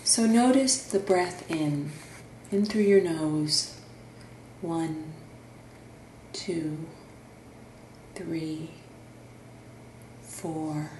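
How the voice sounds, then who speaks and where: Slow-paced woman guiding a meditation, roomy sound. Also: low voice. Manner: soothing, gentle instructional, with long pauses. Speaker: meditation guide and therapist. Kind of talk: guided meditation narration. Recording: roomy echo, faint background noise.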